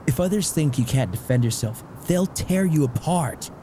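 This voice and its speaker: A man's voice: on the high side, animated, moderate pace, dark tone, a little hiss or hum, lightly acted. A man playing a blunt, nosy but caring father in a comic dub, probing and scolding. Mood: exasperated. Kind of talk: amateur comic dub voice acting.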